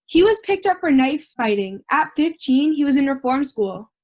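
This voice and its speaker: Woman as dismissive juror, high pitch, sarcastic, impatient, amateur audio drama. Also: big pitch swings, moderate pace, darkish tone.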